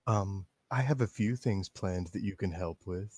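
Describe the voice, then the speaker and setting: A man reading the lines of a shy, intense teenage schoolgirl in a game read-along, soft and measured. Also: low-pitched, lightly acted. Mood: calm. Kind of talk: read-aloud of a game script with friends.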